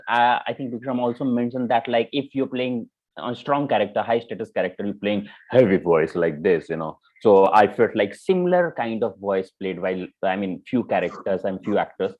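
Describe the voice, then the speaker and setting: A man with an Indian accent giving informal spoken feedback as a mentor, halting and repeating himself, often checking in with "you know". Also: medium pitch. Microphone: video call. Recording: muffled, band-limited online call audio.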